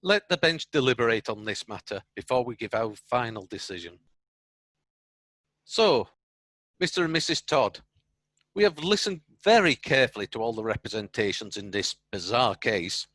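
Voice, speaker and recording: A man's voice: mid-range voice, big pitch swings, normal speed, a bit bright, no room sound. A man acting in a radio drama as a stern chairman of magistrates, formal and authoritative.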